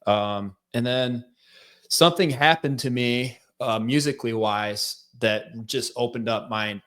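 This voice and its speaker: A man with a Michigan accent, a guest telling his life story in a relaxed, rambling way with lots of fillers. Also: mid-range voice, measured pace. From central Michigan. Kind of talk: casual interview storytelling.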